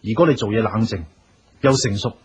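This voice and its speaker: A man's voice: lowish voice, brisk, husky, rough recording. A man with a Hong Kong Cantonese accent playing a blunt, resentful and scheming younger brother in a radio drama. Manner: blunt, complaining.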